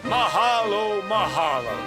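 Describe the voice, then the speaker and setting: A man's voice: high-pitched, sing-song, a little slow. An actor playing an exuberant, welcoming island man in a video game, hearty and effusive. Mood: jubilant. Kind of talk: video game cutscene acting. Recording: loud game music behind voice.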